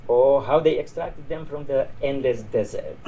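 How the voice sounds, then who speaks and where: A man's voice: mid-range voice, a little slow, mellow, natural voice. A man reading the part of a tired old border guard in a story, chatty, dismissive and grimly amused. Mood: incredulous, discouraging. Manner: weary, chatty, discouraging. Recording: noisy live reading in a bar.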